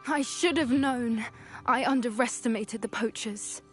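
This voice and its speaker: A woman's voice: fairly high pitch, measured pace, soft background music, lightly acted. A young woman acting an earnest teenage witch who cares for creatures, in a video game. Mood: worried.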